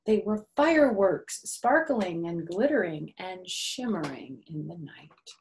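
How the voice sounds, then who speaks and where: A woman's voice: on the low side, sing-song, slow, a little mellow, a little hiss or hum, poor audio. An older woman reading a picture book aloud to young children, with simple phrasing and little questions and asides to the listeners.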